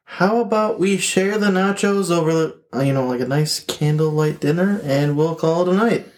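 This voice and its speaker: A man's voice: a little high, normal speed, lightly acted. A man voicing a flustered husband at the door, who hesitates and stammers with lots of fillers.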